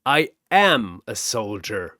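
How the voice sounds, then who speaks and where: A man's voice: on the low side, big pitch swings, takes it slowly, pushed and bright, dry recording, exaggerated voice. A man performs a menacing mercenary from a battle story. He talks like a cruel predator, taunting and mocking, in a dry, close recording.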